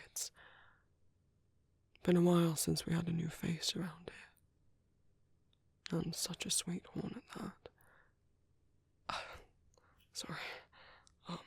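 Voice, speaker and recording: A man plays a gentle vampire priest with a British accent in an ASMR roleplay. His voice is very breathy with some gravelly creak, often sinking close to a whisper. He speaks slowly and hesitantly, with formal, caring politeness. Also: a little high, little pitch movement, no echo, heavily acted. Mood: bashful, apologetic. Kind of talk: soft-spoken ASMR roleplay.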